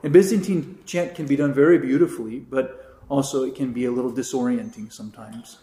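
A man's voice: mid-range voice, brisk, warm tone. A man, a priest, casually teaching a catechism class, rambling with many restarts and now and then singing a short chant line. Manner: rambling, many fillers and restarts, sings brief chant examples. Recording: roomy echo.

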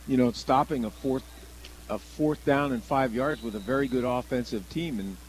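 Man, American accent, medium pitch, conversational sports analysis, halting delivery, noticeable background noise. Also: poor audio. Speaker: color commentator. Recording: clear background noise on live broadcast.

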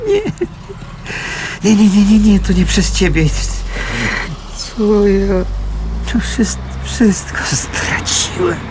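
A male actor playing a kindly, poor scavenger who lives in a shack, halting and homely, in a radio drama. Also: high voice, very animated, noisy background, full character voice. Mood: despairing. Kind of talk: Polish radio drama acting.

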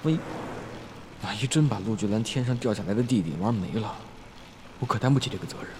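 A man's voice: lowish voice, fast, heavy background noise, lightly acted. A young man acting in a Chinese audio drama as a brash, impatient but protective schoolboy with a blunt, slangy way of talking. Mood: worried.

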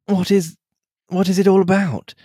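A man reading the lines of a reserved young English wife, speaking quietly and in a measured way. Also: high-pitched, flat delivery, breathy edge, dry recording, studio quality, pitched above the performer's own voice. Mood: thoughtful.

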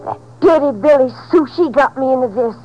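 A woman's voice: sits high, brisk, dark tone, muffled recording, soft background music. Sullen country girl, Southern drawl. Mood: resentful. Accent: rural Southern US. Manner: folksy ungrammatical drawl. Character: sullen, resentful uneducated girl, a young delinquent. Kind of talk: 1950s radio drama acting. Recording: old 1950s radio recording.

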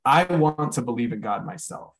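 A man's voice: medium pitch, animated, moderate pace. A man in a casual podcast chat, talking reflectively and searching for his words. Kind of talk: casual conversation.

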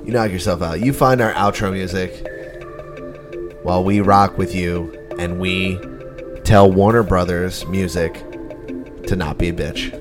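Low raspy-voiced man over music. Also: measured pace, long pauses. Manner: relaxed, profane banter. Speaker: podcast co-host. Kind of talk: casual chat. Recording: loud music playing behind.

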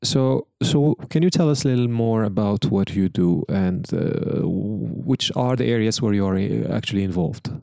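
A man with a low, gravelly voice hosts the show. He reads his guest's introduction in a formal way, then asks loose, rambling questions. The sound is dry and close. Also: normal speed, hardly any pauses, mellow, no echo. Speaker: podcast host. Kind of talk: scripted intro then casual interview.

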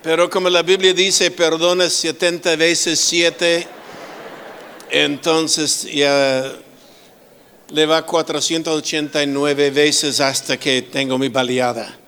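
A middle-aged man preaching as a guest speaker, slow and full of pauses and restarts, with humorous asides. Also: fairly high pitch, little pitch movement, pushed and bright, a little hiss or hum. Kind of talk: sermon.

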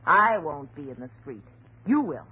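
A woman's voice: very animated, unhurried. A woman playing a spiteful, melodramatic wife who turns hysterical in a 1940s radio horror play. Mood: cold, spiteful. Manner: taunting, melodramatic, shrill when alarmed. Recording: muffled, faintly noisy old radio recording.

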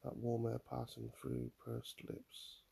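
A man guiding a mindfulness meditation in a calm, soothing manner, leaving long pauses between phrases. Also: medium pitch, vocal fry, breathy edge. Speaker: mindfulness guide. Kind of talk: guided meditation, body scan.

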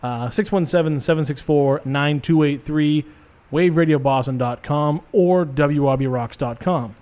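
A male radio host with a slightly raised pitch, rambling through casual banter between songs. He jokes loosely and laughs often. He talks from a radio studio. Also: unhurried, darkish tone.

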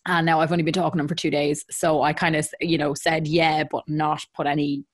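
A woman's voice: sits low, level tone, quick pace. An Irish woman co-hosting a podcast, telling stories in a chatty way and laughing often. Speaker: podcast co-host. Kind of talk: casual chat.

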